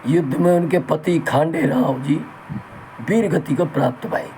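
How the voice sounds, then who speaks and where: A man speaks slowly and haltingly through a sore throat, his voice sitting a little high. His manner is folksy and reverent, and he addresses his listeners as brothers. A faint hiss of background noise runs under his talk. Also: a little mellow. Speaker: channel host. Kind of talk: talk in Hindi with Bundeli dialect.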